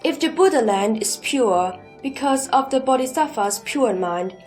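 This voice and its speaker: A woman playing a respectful Buddhist disciple speaks in a very high voice. The delivery is formal and measured, questioning his master in a scripture audio drama over music. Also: animated. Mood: puzzled.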